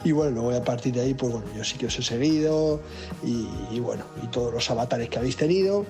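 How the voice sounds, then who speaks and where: A man's voice: mid-range voice, fast. A man with an accent from Spain leaving an informal, rambling voice message as a listener. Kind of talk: casual voice message. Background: music.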